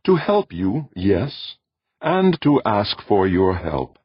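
A man voicing a calm, ancient alien being who speaks in a flat, intoning way. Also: lowish voice, slow pace, husky, no room sound, voice pushed up, heavily acted. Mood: solemn. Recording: dull dry recording.

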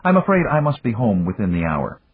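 Man voicing a dignified, spirited young woman, raised pitch. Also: big pitch swings, fairly quick, husky. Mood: polite. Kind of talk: audiobook character voice. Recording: echoey room, muffled narrow-band sound.

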